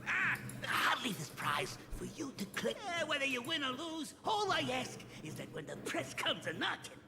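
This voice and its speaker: Squawky, high-pitched little gang boss. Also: male voice, huge pitch swings, normal speed, gravelly, full character voice. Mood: excitable, wheedling. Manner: theatrical, wheedling, drawling hesitations. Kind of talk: animated game character acting. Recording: long reverb, music behind. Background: music.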